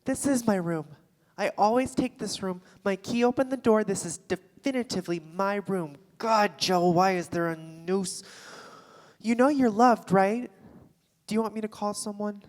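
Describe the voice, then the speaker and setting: A woman's voice: medium pitch, moderate pace, lightly acted. An actress playing a defensive, rattled woman with a secret affair in a live staged play reading. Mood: alarmed, suspicious. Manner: indignant, sarcastic, stammering when rattled. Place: theatre stage before a live audience. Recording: live theatre stage, long hall reverb.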